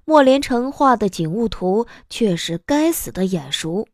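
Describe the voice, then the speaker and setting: Animated woman narrating an audiobook. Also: fairly high pitch, a little slow, dry recording, studio quality. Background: soft background music.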